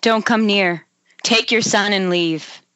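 A woman's voice: mid-range voice, slow pace, fairly bright tone, slight background noise. A woman playing a solemn ghostly mother in an amateur horror script table read over a lo-fi internet call. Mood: stern.

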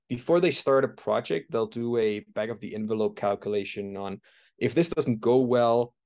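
A man from Denmark, a startup founder in his thirties, answering interview questions in a relaxed, searching way with many fillers and restarts. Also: medium pitch. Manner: thoughtful, frequent fillers and restarts while explaining.

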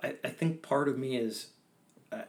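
A man's voice: mid-range voice, normal speed. A young man from Maine, a fly tyer, chatting as a guest in a casual interview with a humble, talkative manner and frequent restarts.

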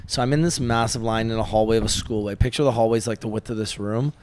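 Loud laughing guy. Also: on the low side, fairly even intonation, quick pace, dry recording. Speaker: guest, app co-founder. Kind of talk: casual podcast chat.

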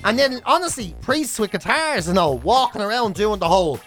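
High-pitched Irish man bantering over music. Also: brisk. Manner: irreverent, animated storytelling with slang. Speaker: radio show host. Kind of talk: comic casual banter.

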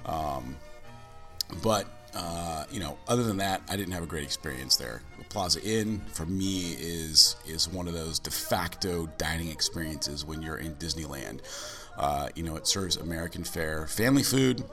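A male podcast host with a very deep yet bright, punchy voice, scratchy and hoarse from a cold. He chats eagerly, leaning on fillers and restarts. Faint music plays underneath. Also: normal speed. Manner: chatty, enthusiastic, many fillers. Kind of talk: casual chat.

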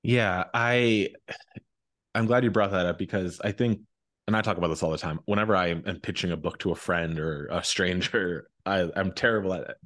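Deep-voiced man, informal and rambling. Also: moderate pace, a bit bright. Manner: informal, rambling, self-correcting. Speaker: podcast host. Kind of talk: casual conversational book interview.

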